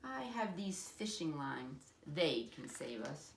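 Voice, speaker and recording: A woman voicing an eager, imaginative little boy playing pretend in a storybook, excitable, bossy and dramatic. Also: low-pitched, slow pace, vocal fry, slight background noise, exaggerated voice. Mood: excited. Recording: echoey room.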